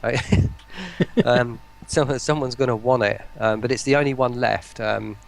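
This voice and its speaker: A man chatting casually as a guest on a livestream, with a hesitant, halting delivery. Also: mid-range voice, gravelly. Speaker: guest, voice pack producer.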